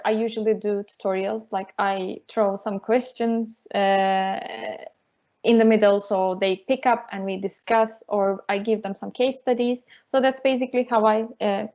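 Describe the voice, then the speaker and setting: A woman's voice: medium pitch, a little mellow, lo-fi sound. Woman with a Turkish accent, hesitant. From Turkey. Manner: hesitant, many fillers and restarts. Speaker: university lecturer, guest. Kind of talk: casual interview over a call.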